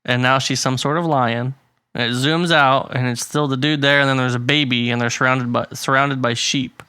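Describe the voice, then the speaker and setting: A man's voice: medium pitch, fairly even intonation, normal speed, bright, forceful tone, smooth, no room sound. A man co-hosting a podcast about music, chatting casually with blunt opinions and frequent laughs. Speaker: podcast co-host. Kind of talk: casual chat.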